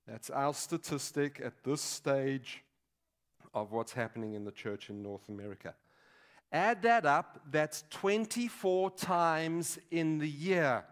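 A man in his sixties preaching a sermon in a deliberate, emphatic way, pausing between short phrases. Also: mid-range voice. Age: 60s. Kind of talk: sermon with statistics and teaching. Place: large dim auditorium stage at a lectern.

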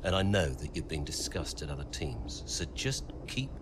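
A man playing a wealthy, middle-aged father who backs a racing team, smooth and persuasive, in a video game drama. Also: very deep voice, sing-song, moderate pace, very noisy. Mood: coaxing. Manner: smooth, persuasive, self-assured. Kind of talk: cinematic game drama acting.

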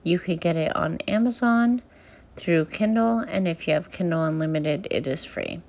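A woman reads aloud from a first-person novel as its author, in a plain, unhurried storytelling manner. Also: on the low side, a little mellow. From Southern California, USA. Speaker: author reading from own novel. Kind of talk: amateur audiobook reading in first person.

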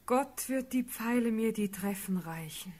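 An actress playing a tender, loyal and resolute young noblewoman in a solemn classical verse radio drama, with measured delivery. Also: on the high side, animated, a little mellow. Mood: solemn, steadfast.

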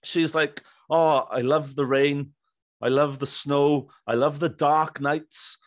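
A man's voice: a little high, steady tone, takes it slowly, dry recording. A man, hosting a casual, jokey interview. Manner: chummy, jokey. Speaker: podcast host. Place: small indoor home studio. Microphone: studio mic on boom arm, headphones.

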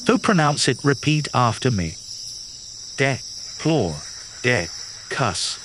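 A man reading a children's nonfiction picture book aloud in a clear, patient, teacherly way. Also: mid-range voice, sing-song, takes it slowly, background noise. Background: background music.

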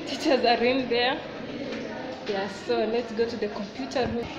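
A woman's voice: a little high, steady tone, normal speed, dull audio. A young woman from Kenya giving a casual walking tour of her college, talking to viewers as guys while filming on her phone indoors. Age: young adult. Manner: addresses viewers as guys, chatty and repetitive. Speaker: student vlogger. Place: college corridors and classrooms, indoors. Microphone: handheld phone camera. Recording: phone recording with loud crowd noise. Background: noisy crowd of students.

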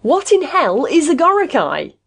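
A woman's voice: a little high, lively intonation, normal speed, darkish tone, voice pushed up. A woman voicing a weary, exasperated male patient in an audiobook, blunt and irritable in his protests. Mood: angry.

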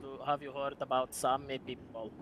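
A man playing an eccentric, excitable reindeer herder in game role-play, with an earnest, halting, emphatic delivery. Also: mid-range voice, dull audio, a little hiss or hum, close to an everyday voice. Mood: earnest, proud.

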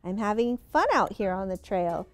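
A woman's voice: medium pitch, lively intonation, moderate pace, soft background music, close to an everyday voice. A woman doing a cheerful growly storybook dog. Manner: friendly voice with playful growls.

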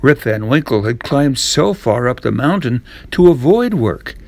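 A man's voice: medium pitch, big pitch swings, a little slow, vocal fry, darkish tone, no echo. Man reading a storybook in a dry room. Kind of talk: scripted storybook narration.

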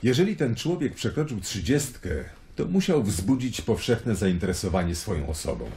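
An actor in a radio drama playing a worldly Roman traveler fascinated by prophecy, speaking in a wry, eloquent storytelling manner. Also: male voice, on the low side, very animated, normal speed, a little mellow, a little hiss or hum. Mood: earnest, persuasive.

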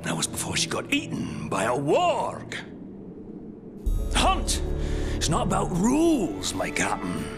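A boisterous old hunter, wildly swooping voice. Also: male voice, on the high side, wildly swooping pitch, slow, exaggerated voice. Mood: instructive. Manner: teasing, theatrical, chuckling. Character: boisterous mocking grizzled old monster hunter, male. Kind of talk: video game cutscene acting. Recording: loud music behind the voice.

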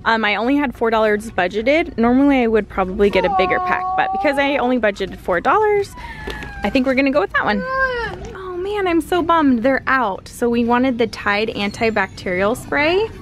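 A woman's voice: fairly high pitch, moderate pace. A woman in her thirties chatting casually while she films her grocery shopping in a large store, reading out prices. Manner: chatty, animated, pricing items aloud. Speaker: family vlogger. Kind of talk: casual grocery haul vlog. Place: large store aisle indoors. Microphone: handheld camera at arm's length.

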